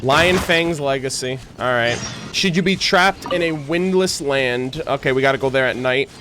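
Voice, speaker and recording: A man doing casual, slangy reaction commentary as a game streamer, expressive and free with swearing. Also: medium pitch, moderate pace, over music.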